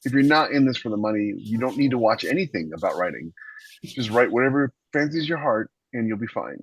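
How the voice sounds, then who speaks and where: Fast-talking husky man, blunt and emphatic. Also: medium pitch, lively intonation, poor audio. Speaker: podcast co-host giving writing advice. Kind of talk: casual advice talk.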